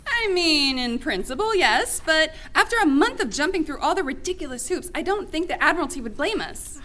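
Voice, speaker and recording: A woman's voice: high voice, lively intonation, a little fast, pushed and bright. A woman playing an earnest, exasperated starship first officer in a sci-fi radio play. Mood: urging. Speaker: actor.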